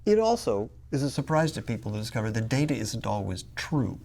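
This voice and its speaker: A man's voice: medium pitch, very animated, a little fast, darkish tone, slight background noise. A man conducting an interview, asking short, curious follow-up questions.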